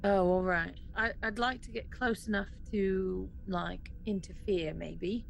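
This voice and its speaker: A woman's voice: medium pitch, fairly even intonation, unhurried, low-quality recording. A woman chatting casually and informally as a player in a tabletop role-playing game. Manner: informal, conversational. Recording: clear background noise.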